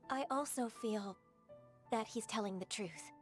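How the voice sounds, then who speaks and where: A woman playing an earnest young female official in a video game, speaking formally and politely with a gentle, solemn manner. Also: fairly high pitch. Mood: earnest, apologetic. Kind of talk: video game character acting.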